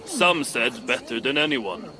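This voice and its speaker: A man's voice: medium pitch, animated, a little slow, crowd noise, natural voice. An actor playing an opinionated, skeptical dwarf craftsman in a video game, with a dry manner. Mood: mournful, halting. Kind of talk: video game dramatic acting.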